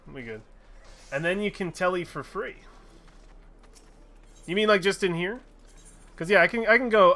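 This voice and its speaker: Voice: medium pitch, bright, forceful tone. A streamer chatting casually while playing a game, swearing freely and laughing at their own mistakes. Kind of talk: casual gaming livestream chat.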